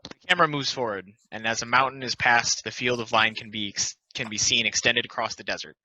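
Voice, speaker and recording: A man's voice: medium pitch, steady tone, brisk, pushed and bright, no echo. A man reading scripted stage directions as a narrator in a table read. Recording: dull, dry lo-fi microphone.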